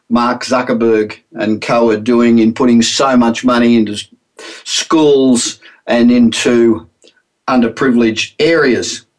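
A man's voice: medium pitch, poor audio. Chatty Australian man hosting radio. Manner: chatty, upbeat, with frequent ums and uhs. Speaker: radio show host. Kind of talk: solo business radio monologue.

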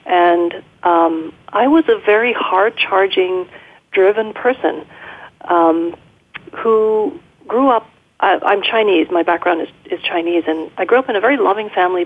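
A middle-aged woman speaking as an interview guest, reflective and measured, over a narrow phone-like line. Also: mid-range voice. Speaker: guest, leadership coach. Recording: muffled, band-limited phone-like line.

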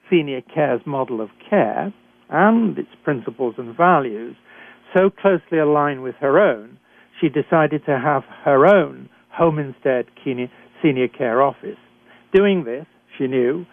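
An older man with a British accent introduces his guests on a radio talk show. His reading is formal and measured, with a few small slips. The sound is thin and phone-like, with no room around it. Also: medium pitch, creaky, no echo. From Britain.

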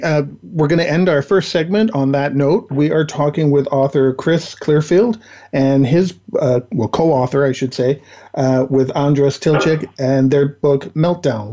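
Hoarse male radio host, dark tone. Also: medium pitch. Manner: halting, careful questions. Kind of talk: talk radio interviewing. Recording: dull lo-fi radio recording.

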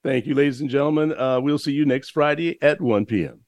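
A man's voice: medium pitch, fairly quick, a little mellow. A man hosting a radio talk show, interviewing a guest in a friendly way. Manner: friendly, wraps up with thanks.

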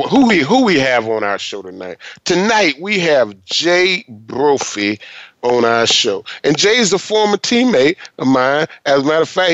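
A man's voice: medium pitch, a bit bright, dry recording, highs cut off. A man in his fifties hosting a sports talk show, talking emphatically and repeating himself in casual conversation. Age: 50s. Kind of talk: casual radio interview.

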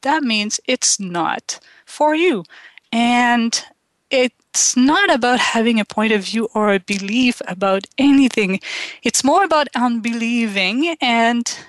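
A woman from Quebec with a Quebec French accent, French her first language, hosting a call-in radio show in a halting way, with many ums and restarts. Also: on the high side, expressive, no room sound. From Quebec, Canada. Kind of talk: slow, hesitant call-in radio talk.

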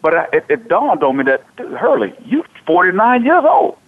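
A man's voice: high voice, very animated, normal speed. A man in his forties hosting a sports talk radio show, talking casually and animatedly, often repeating himself. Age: 40s. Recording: tinny phone-like radio audio.

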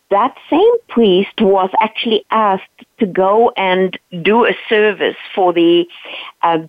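A woman's voice: mid-range voice, dry recording. Tinny, earnest South African woman. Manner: earnest, animated retelling with frequent "right?" asides. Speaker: guest. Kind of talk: personal storytelling in a podcast interview. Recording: dry, tinny phone-like line.

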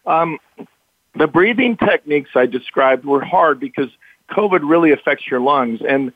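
A man with a raspy, slightly hoarse voice, a little higher than most men, answers questions earnestly and in halting bursts. The sound is thin and phone-like, close and without any room to it. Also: expressive, no room sound. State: recovering from illness, slightly hoarse. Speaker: interview guest, author. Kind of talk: remote interview. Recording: tinny phone-line audio, dry.

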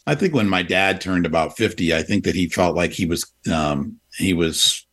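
A man in his sixties speaks in a fairly low voice, thinking aloud as he goes. He often restarts his sentences and leans on fillers while hosting a relaxed radio conversation. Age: 60s. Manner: reflective, halting, many restarts and fillers. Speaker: radio show host. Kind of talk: casual conversation.

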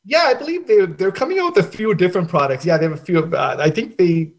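Young adult male guest, Sri Lankan-born, slightly raised pitch, earnest and halting delivery. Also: expressive. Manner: earnest, halting with many restarts. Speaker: festival founder guest. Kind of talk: casual interview answers.

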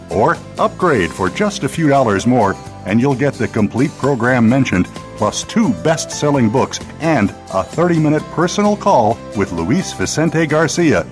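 A man's voice: medium pitch, big pitch swings, moderate pace. A man reading radio promos and station announcements in an upbeat, polished announcer manner. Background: music bed.

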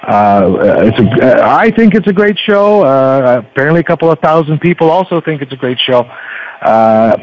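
A man who is a podcaster, chatting casually as an interview guest in a halting, affable way. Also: mid-range voice, very animated. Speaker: podcaster guest plugging his show. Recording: muffled internet radio connection.

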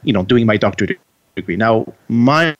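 A man's voice: fairly low pitch, expressive, moderate pace, muffled recording. A man with a Quebec French accent, a native French speaker, answering interview questions in English in an earnest way with frequent restarts. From Quebec, Canada. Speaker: guest author.

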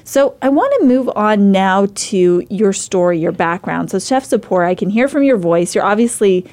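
Woman radio host, mid-pitched, encouraging and upbeat with guests. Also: expressive, normal speed, darkish tone. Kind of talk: radio interview.

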